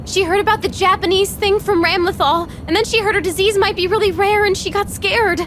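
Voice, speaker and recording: A woman's voice: extremely high pitch, steady tone, a little fast, fairly bright tone, a little hiss or hum. A woman voicing an anxious, emotional teenage girl in an anime-style video game, girlish and plaintive. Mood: worried. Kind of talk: video game voice acting in anime dub manner.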